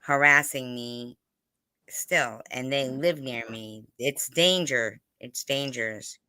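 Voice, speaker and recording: A woman talks slowly and insistently, in a casual but argumentative way. Her voice is clear and very bright, with a forceful edge. She often repeats herself. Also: rumbling low, no echo.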